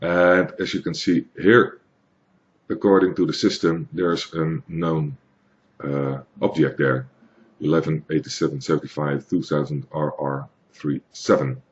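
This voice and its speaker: A man from the Netherlands with a Dutch accent, explaining software in a hesitant, plain tutorial with many fillers. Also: very deep voice, fairly even intonation, a little slow, muffled recording. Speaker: tutorial maker. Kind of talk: screen-recorded software tutorial.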